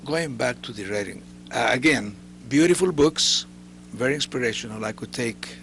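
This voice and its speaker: A man's voice: medium pitch, unhurried, fairly bright tone, slight background noise. A man hosting a studio interview, speaking with effusive praise. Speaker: interview host. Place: recording studio.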